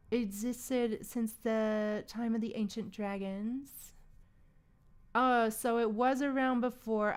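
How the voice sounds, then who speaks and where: Slow-paced, higher-voiced woman streaming. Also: smooth. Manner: informal, musing, thinks aloud. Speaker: livestream host. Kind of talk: casual livestream chat while gaming.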